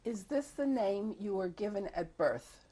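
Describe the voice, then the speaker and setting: A woman conducting an oral history interview, asking questions in a measured, formal way. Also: mid-range voice, expressive, warm tone, slightly rough, poor audio.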